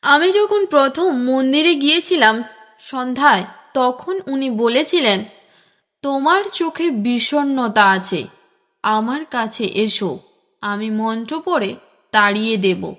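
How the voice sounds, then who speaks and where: A woman's voice: sits high, animated, takes it slowly, fairly bright tone, big-room sound, full character voice. A woman voicing a wronged, sorrowful young woman's diary in a solemn, plaintive audio-story reading. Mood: sorrowful, haunted.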